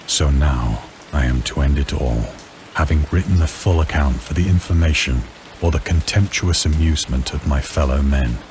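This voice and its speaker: Very deep, grim doomed ship officer. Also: male voice, moderate pace, noisy background. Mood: grim despair. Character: despairing doomed male ship officer, morphine addict. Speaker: story narrator in a video game. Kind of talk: dramatic scripted narration of a horror story.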